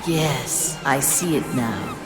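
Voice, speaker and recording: An actress playing the solemn, proud spirit of a living ghost ship in a video game, formal and measured with a ghostly reverb. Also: female voice, extremely low pitch, wildly swooping pitch, exaggerated voice. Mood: solemn, wondering. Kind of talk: video game character acting. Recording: echoey voice under loud music.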